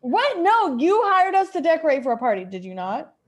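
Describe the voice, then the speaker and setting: A woman's voice: extremely high pitch, big pitch swings, a little fast. A woman performing improvised sketch comedy, talking in an incredulous, insistent way and repeating herself.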